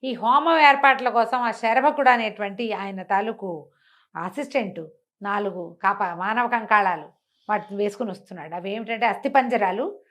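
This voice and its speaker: A woman's voice: mid-range voice, moderate pace, a bit bright. A woman tells a fantasy folk tale in a conversational way, using rhetorical questions and repetition. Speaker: storyteller on a web show.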